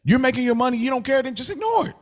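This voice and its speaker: An American man co-hosting a podcast, arguing casually with an exasperated, pleading manner, halting and repeating himself. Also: very high-pitched, animated. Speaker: podcast co-host. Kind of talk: heated casual debate.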